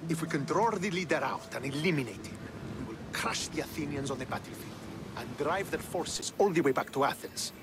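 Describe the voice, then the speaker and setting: Video game Spartan commander: stern, clipped, suspicious, fairly high male register, prominent score underneath. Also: lively intonation, brisk, loud music behind the voice. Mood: commanding, grudging. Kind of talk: dramatic video game acting.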